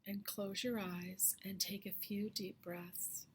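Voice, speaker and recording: A woman leading a slow guided meditation in a soft, hushed, soothing voice that often trails into whispery breath. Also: mid-range voice, little pitch movement, a little hiss or hum. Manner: slow, soothing, with long pauses.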